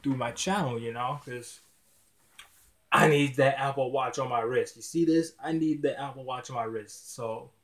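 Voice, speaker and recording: A man of twenty vlogging casually to his camera in a dim small room, rambling and restarting his words often, with relaxed slang. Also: medium pitch, normal speed. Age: 20s. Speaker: YouTuber. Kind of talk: casual vlog talk to camera.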